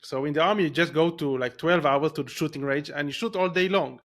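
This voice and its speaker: A man's voice: a little high, quick pace, a bit bright. Israeli man telling his story. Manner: rambling, frequent restarts and fillers. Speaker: podcast guest. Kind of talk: casual interview storytelling.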